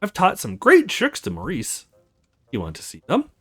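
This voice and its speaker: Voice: medium pitch, wildly swooping pitch, normal speed, creaky, fairly bright tone, husky, pitched above the performer's own voice, full character voice. Woman as a cheerful, boastful little magic fox. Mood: playful, boastful.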